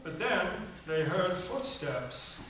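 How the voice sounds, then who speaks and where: A man's voice: high-pitched, sing-song, slow, a bit bright, exaggerated voice. A man narrating a children's radio play in a storybook style. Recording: echoey room, distant mic, faint noise.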